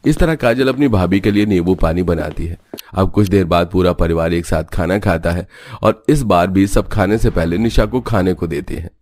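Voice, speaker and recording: A man's voice: deep voice, normal speed, darkish tone, no room sound. A man reading scripted narration for a cartoon story. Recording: music playing behind the voice. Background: background music.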